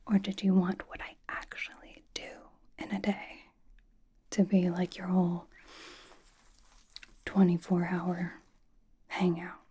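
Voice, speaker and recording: Casting: woman playing cocky young female pop idol for ASMR roleplay, mellow breathy whisper, deadpan and teasing. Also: on the low side. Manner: slow, teasing, halting delivery with dramatic emphasis.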